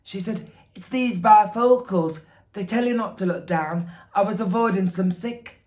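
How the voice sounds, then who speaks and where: A man's voice: high-pitched, unhurried, a little mellow, voice pushed up. A man performing a fussy, exasperated son in a comic monologue, telling an anecdote. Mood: exasperated, wry. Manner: anecdotal, quoting both sides. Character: fussy, exasperated son recounting his elderly mother's fall.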